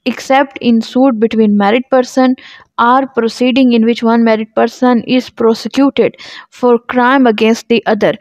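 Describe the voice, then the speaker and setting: Woman, Pakistani accent, law lecturer, higher-pitched voice, unhurried delivery, didactic explaining. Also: darkish tone, no echo. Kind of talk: explanatory lecture, reading statute aloud and explaining it.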